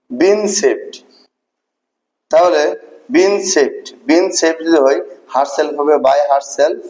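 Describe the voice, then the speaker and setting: A man with a slightly high voice gives an instructional grammar lesson. He explains things step by step, repeating key terms and spelling words out as a teacher would. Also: creaky, darkish tone, poor audio. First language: Bengali.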